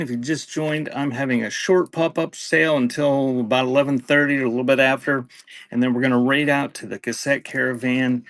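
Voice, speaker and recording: A male live auction host with a mid-pitched voice. He announces each item in brief, clipped phrases. Between lots he slips into casual chat with the people watching. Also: a bit bright. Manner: clipped announcements mixed with casual asides to viewers. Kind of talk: live-stream sales auction, calling out items in short phrases.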